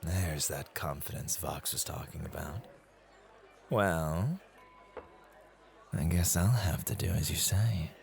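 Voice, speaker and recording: A man's voice: very deep voice, slow pace, vocal fry, pauses often, faint music behind, heavily acted. A man playing a devoted, competitive and possessive club host in an intimate, teasing ASMR roleplay.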